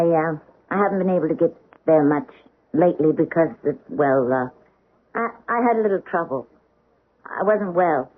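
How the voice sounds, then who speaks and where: A woman playing a troubled stage actress and dutiful daughter in a 1970s radio drama, speaking earnestly and theatrically. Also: lowish voice. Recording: muffled old radio broadcast.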